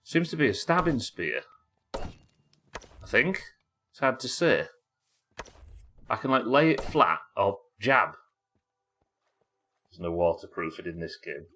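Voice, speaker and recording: A man's voice: fairly low pitch, very animated, takes it slowly, long pauses, pushed and bright, slight background noise. A man with a head cold, sniffly as he casually narrates his live gameplay, thinking aloud and chatting to viewers and his in-game pets. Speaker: livestreaming gamer.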